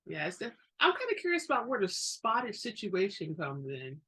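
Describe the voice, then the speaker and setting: Bright-voiced woman reading with dramatic flair. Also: medium pitch, moderate pace, breathy edge. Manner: expressive, theatrical delivery. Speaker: book reader and channel host. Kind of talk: dramatic audiobook narration.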